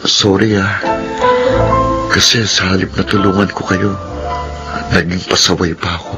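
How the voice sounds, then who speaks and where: A man's voice: low-pitched, flat delivery, measured pace, over loud music. A man acting an earnest, apologetic young son and older brother in a Filipino radio drama.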